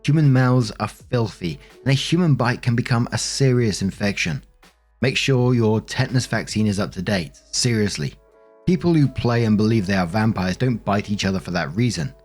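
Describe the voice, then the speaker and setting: A man's voice: fairly low pitch, lively intonation, moderate pace, darkish tone, breathy edge, soft background music. A man reading online posts and comments aloud as a narrator, with casual reactions of his own. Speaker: host narrating Reddit stories.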